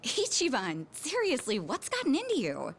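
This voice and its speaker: High-voiced, blunt, teasing lady friend. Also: wildly swooping pitch, normal speed, full character voice. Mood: teasing impatience. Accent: American. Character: grown woman, teasing, blunt, casual friend. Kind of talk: naturalistic game dub acting. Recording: faint ambient noise behind dialogue.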